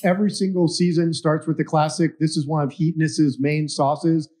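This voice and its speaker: Dark-voiced man presenting hot sauces. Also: a little high, little pitch movement. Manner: brisk, informal, explains each sauce. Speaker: moderator. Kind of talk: hosting a hot sauce challenge.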